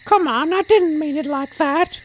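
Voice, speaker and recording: An extremely high-pitched, fretful feathered companion in a fantasy audio drama, talking in British slang. The loyal little creature sounds anxious, over faint night ambience with crickets and an owl. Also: female voice, measured pace, a little hiss or hum. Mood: anxious pleading.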